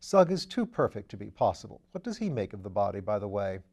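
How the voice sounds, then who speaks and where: A man's voice: on the low side, big pitch swings, creaky, a little mellow, voice pushed down, full character voice. A man reading aloud, voicing a witty, flippant aristocratic amateur detective with a theatrical manner. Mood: playful. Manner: playful, theatrical, quick-witted.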